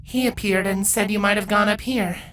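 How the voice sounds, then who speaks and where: A woman voicing a firm, caring adult pony mentor in a measured, lecturing manner for a story. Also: medium pitch, fairly even intonation, a bit bright, a little hiss or hum, pitched above the performer's own voice, natural voice, low-quality recording.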